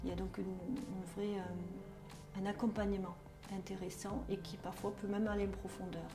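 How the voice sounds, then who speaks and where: A woman's voice: mid-range voice, little pitch movement, normal speed, roomy sound, over music. A middle-aged woman from Germany speaking with a German accent, talking hesitantly with many fillers and restarts in a testimonial interview as a trainer. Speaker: trainer at a social work school.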